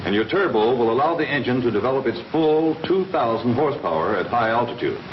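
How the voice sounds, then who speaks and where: A man's voice: medium pitch, lightly acted. A man playing a confident American Army captain, plainly and methodically instructing pilots in the style of a 1940s training film. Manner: plain-spoken, methodical explaining. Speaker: flight instructor, military captain. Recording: noisy, dull 1940s film soundtrack.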